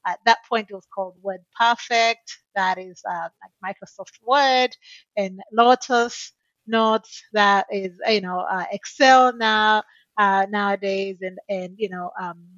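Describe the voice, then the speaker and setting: A middle-aged woman from Tanzania, a podcast guest telling her story in a halting way with many fillers and restarts. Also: mid-range voice, fairly even intonation, a bit bright, no room sound, dull audio. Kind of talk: conversational interview answer.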